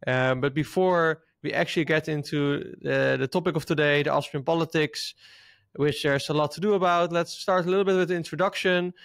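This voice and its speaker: A man's voice: a little high, fairly even intonation, a little fast, gravelly, no echo. Dutch man hosting an interview, dry sound. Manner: friendly, slightly hesitant questioning. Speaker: interviewer, host. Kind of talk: casual interview.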